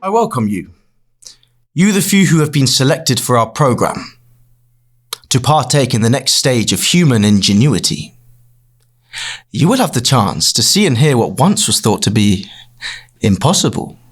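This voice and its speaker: A man playing a solemn, persuasive leader giving a grandiose, measured speech with dramatic pauses in an audio drama. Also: medium pitch, very animated, slightly rough. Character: solemn, persuasive male leader of a mysterious afterlife program.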